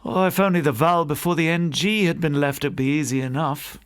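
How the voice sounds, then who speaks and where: A man's voice: on the high side, a little fast, smooth, pitched above the performer's own voice, close to an everyday voice. A man voicing a fussy, grumbling male museum curator in an audiobook ghost story, with a conversational, peevish academic manner.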